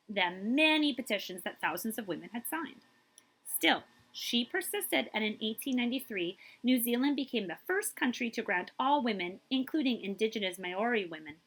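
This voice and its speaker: A woman reads a picture book aloud to young listeners in a high-ish voice with a breathy, husky edge. Her delivery is emphatic and very melodic, stretching out important words and adding short asides of her own. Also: a bit bright, low-quality recording. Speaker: librarian reading aloud to children.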